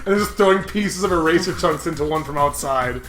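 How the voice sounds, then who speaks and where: A man's voice: on the high side, brisk, a bit bright, roomy sound. A man co-hosting a gaming show, reading aloud and bantering casually in a crude, jokey manner.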